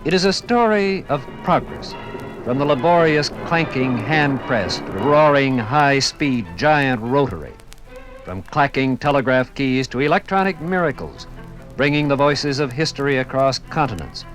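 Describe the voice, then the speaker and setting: With music playing behind him, a man narrates a 1950s radio documentary. His mid-pitched voice is formal and measured, with dramatic, oratorical phrasing. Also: lively intonation, fairly bright tone, low-quality recording.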